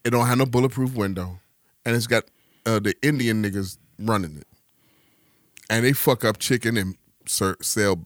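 Halting man in his forties. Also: lowish voice, dry recording, studio quality. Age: 40s. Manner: halting, repeats words, laughs often. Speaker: podcast host. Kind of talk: casual chat.

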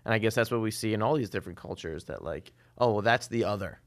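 Low voice guy, thinking out loud, chill chat. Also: fast talker. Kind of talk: casual chat.

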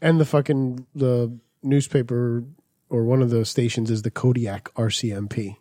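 A man's voice: mid-range voice, steady tone, normal speed, mellow, no room sound, clean studio sound. A man co-hosting a podcast, bantering casually with sarcastic, profane humour. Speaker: podcast co-host.

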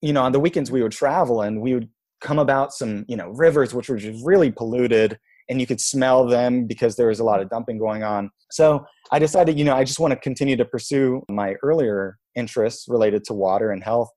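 A man in his thirties from Israel, a guest telling his life story in a relaxed, reflective way, with many "like"s and "you know"s. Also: medium pitch, fairly quick. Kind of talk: casual storytelling interview.

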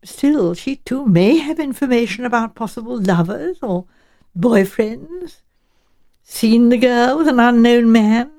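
A woman voicing a courteous, frank male English professor in an audiobook, speaking in a measured and direct way. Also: on the high side, lively intonation, dark tone. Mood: measured, informative.

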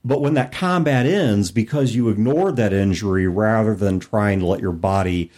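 A man's voice: lowish voice, normal speed, darkish tone. A man hosting a casual panel discussion, talking in an analytical way and explaining with acted-out examples. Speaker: podcast host.

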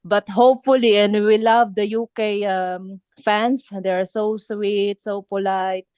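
Young Filipino woman, interview guest; slow, clean voice, hesitant phrasing. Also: mid-range voice, steady tone, a little mellow, clear, resonant tone, dry recording. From Philippines. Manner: halting, searching for words, many fillers and restarts. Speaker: band singer being interviewed. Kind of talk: casual interview chat.

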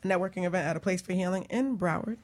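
A woman's voice: fairly low pitch, racing, darkish tone. A woman hosting a radio talk show in a casual, chatty, informal way.

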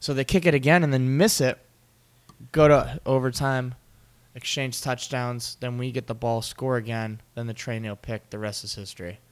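A young man co-hosting a casual sports podcast, telling game stories in an animated way and laughing often. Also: medium pitch, normal speed, clear, resonant tone. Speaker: podcast co-host.

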